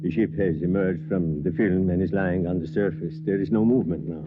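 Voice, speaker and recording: An actor playing a detached, skeptical scientist in a 1950s radio drama, measured and dryly clinical. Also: male voice, deep voice, fairly even intonation, natural voice. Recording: tinny, noisy old radio recording.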